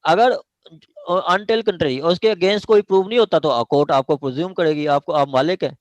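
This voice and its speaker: A man's voice: on the high side, moderate pace, dry recording. A man with a Pakistani accent lecturing an online law class, repeating points, checking understanding and mixing in English legal terms.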